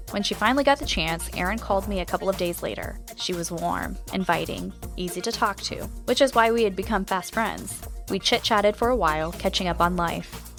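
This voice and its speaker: Curious, conversational podcast host. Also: female voice, lowish voice, a bit bright. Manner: conversational, inquisitive. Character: curious, friendly female podcast host and investigator. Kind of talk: scripted podcast narration and audio drama dialogue.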